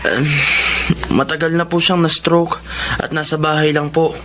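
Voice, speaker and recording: Lalaking gumaganap na magalang na binata. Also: male voice, fairly high pitch, little pitch movement, normal speed, slight background noise, natural voice. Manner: respectful, soft-spoken. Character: polite young male college student. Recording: music behind.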